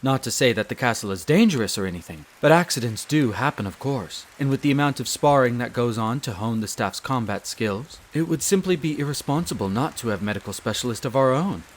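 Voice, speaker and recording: A man playing a courteous, devoted wolf butler in a roleplay audio drama, speaking in a formal, measured and deferential way. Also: mid-range voice, lively intonation, slight background noise, lightly acted. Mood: composed, reassuring. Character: courteous, devoted male wolf butler, head servant of vampire castle.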